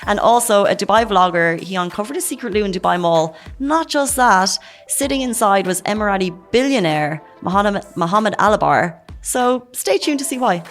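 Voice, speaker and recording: A woman's voice: medium pitch, expressive, normal speed, few pauses, faint music behind. A woman with an Irish accent, hosting a talk show in an upbeat, chatty presenting style. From Ireland. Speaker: podcast and radio show host.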